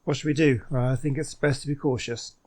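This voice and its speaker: A man's voice: medium pitch, fairly even intonation, fairly quick, darkish tone. A man hosting a gaming video, giving casual let's play commentary and thinking aloud.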